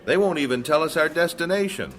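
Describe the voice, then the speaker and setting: Lively, practical missionary husband. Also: male voice, fairly high pitch, fast. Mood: matter-of-fact. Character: steady, practical missionary husband, gently teasing. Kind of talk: radio drama acting.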